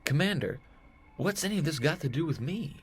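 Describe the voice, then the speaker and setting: A man's voice: fairly high pitch, very animated, a little fast, husky, full character voice. A man playing an earnest, folksy heroic space captain in a retro sci-fi audio drama, full of old-fashioned exclamations. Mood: concerned.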